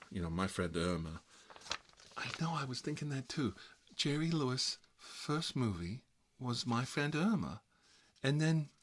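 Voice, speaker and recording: A man's voice: mid-range voice, slightly rough. A man playing a fussy, gossipy fellow who lives with his mother, rambling and repeating himself in an ASMR comedy role-play. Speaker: ASMR channel host. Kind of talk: ASMR comedy role-play, one-sided chat with a visitor.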